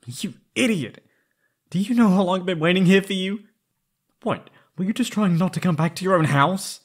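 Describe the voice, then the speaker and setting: A young man playing an anguished, lovesick former captive in an intimate roleplay audio, halting and pleading. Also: high-pitched, pitched above the performer's own voice. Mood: agitated, hurt. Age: young adult.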